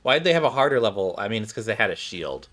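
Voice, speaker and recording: A man's voice: on the low side, very animated, racing, fairly bright tone. A man giving casual, musing gameplay commentary, thinking aloud as he goes. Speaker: game streamer reacting to cutscenes.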